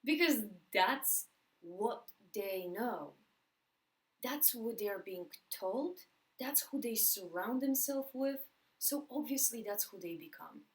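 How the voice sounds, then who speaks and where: A woman from Poland with a Polish accent, giving a motivational talk to camera. She speaks emphatically, repeats words for stress and slows down on key phrases. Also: a little high, expressive, low-quality recording.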